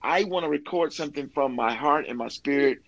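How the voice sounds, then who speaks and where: A man's voice: a little high, brisk, creaky, lo-fi sound. A man talking casually and enthusiastically as a musician being interviewed, with informal phrasing that often ends in "man". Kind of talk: casual chat about career and new album.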